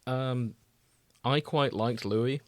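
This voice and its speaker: A man's voice: mid-range voice, extremely slow, no room sound. Casual male podcast co-host, dry close sound. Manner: chatty, informal, swears. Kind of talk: casual banter.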